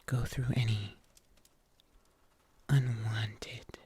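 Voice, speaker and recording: A man playing a gentle, solicitous huntsman healer in an ASMR roleplay, in a soft whisper with careful pauses. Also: lowish voice, little pitch movement, husky, exaggerated voice. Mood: shy, gentle. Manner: slow, hesitant, careful delivery with long pauses. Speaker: ASMR performer.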